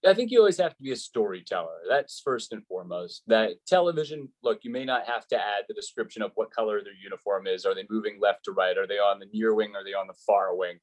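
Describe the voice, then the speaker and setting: An American man with a fairly low-pitched voice speaks as a sports announcer being interviewed. He gives advice in a conversational way, explaining his points with examples and questions he poses himself. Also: animated, fast, hardly any pauses, low-quality recording.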